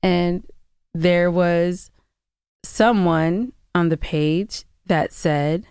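A woman's voice: fairly low pitch, extremely slow, darkish tone, clean tone, no room sound, studio quality. A woman co-hosting a podcast, reading listener questions and chatting casually in a teasing, playful way. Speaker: podcast co-host.

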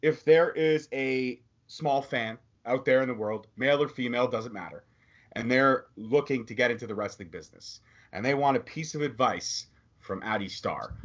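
A man's voice: mid-range voice, expressive, moderate pace, breathy edge. A man hosting a podcast interview, upbeat and joking as he asks his questions. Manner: upbeat, joking, self-promoting. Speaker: podcast host. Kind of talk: casual interview chat. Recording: dull lo-fi audio.

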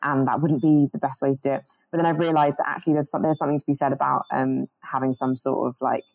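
A young woman with a low, mellow voice talks earnestly about her organizing over a video call. She restarts her sentences often as her thoughts run ahead, and the sound is dry and close. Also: level tone, fast talker, creaky, no room sound, poor audio. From born in Jamaica, grew up in the UK. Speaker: climate organizer guest.

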